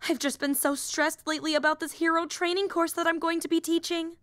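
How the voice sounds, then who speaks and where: A woman voicing an excitable, nerdy young female superhero in an audio roleplay, earnest and rambling, often apologizing and interrupting herself. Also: very high-pitched, fairly quick, dry recording. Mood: earnest, enthusiastic. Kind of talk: roleplay audio drama acting.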